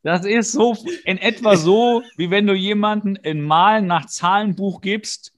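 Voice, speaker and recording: Higher-voiced man recapping with sarcasm. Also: measured pace, a bit bright. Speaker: podcast co-host. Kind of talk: casual episode recap banter.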